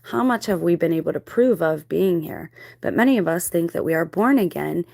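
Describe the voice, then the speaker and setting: Low-voiced woman explaining in a dry room. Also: expressive, brisk, creaky, a little mellow, no room sound. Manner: rhetorical questions, checking in with listener. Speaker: video host. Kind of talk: explanatory monologue on spiritual theories.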